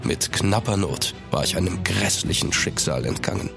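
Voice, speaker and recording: A man's voice: low-pitched, lively intonation, normal speed, vocal fry, over music, exaggerated voice. An actor playing a grave, weary French aristocrat, narrating his past formally and with melancholy in a German audio drama. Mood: grave, melancholic. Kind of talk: scripted first-person narration in audio drama.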